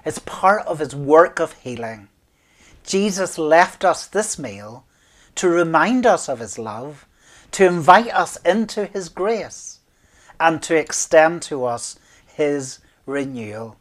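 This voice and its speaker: A man in his fifties, a minister speaking communion liturgy and prayers in a measured, solemn way with deliberate pauses. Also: a little high, big pitch swings, a bit bright. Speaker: minister leading worship. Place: small room indoors, at a desk.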